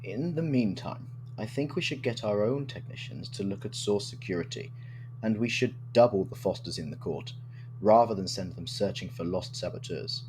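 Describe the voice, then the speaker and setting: A man's voice: on the low side, normal speed, natural voice. A man playing a cautious, courteous councillor in an audio drama, speaking formally and expressively. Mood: measured. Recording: noisy recording with steady hum.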